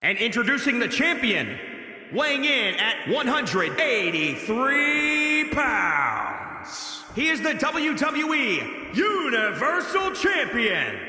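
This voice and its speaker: High, booming ring announcer. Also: male voice, very animated, sharp tone, full character voice. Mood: grand, formal. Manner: drawn-out, grandiose projection. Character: booming, grandiose male wrestling ring announcer. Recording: echoing arena PA, long reverb.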